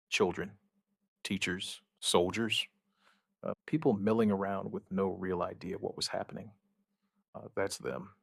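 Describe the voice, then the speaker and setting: A man playing an outbreak survivor who gives a wry, weary witness account in an audio drama, in short, halting, understated phrases. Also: deep voice, vocal fry, a bit bright, dry recording, studio quality, full character voice. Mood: sombre, watchful. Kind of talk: scripted first-person audio drama narration.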